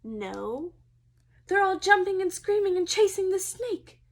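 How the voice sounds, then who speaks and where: High-voiced woman reading a children's storybook. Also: unhurried. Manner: expressive, addressing young children directly. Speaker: preschool teacher reading aloud.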